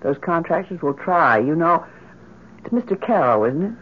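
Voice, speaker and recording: A woman's voice: sits low, animated, moderate pace, slightly rough. An actress playing a grief-stricken, inconsolable middle-aged mother in an impassioned, theatrical old-time radio drama style. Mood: insistent. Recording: muffled vintage radio broadcast.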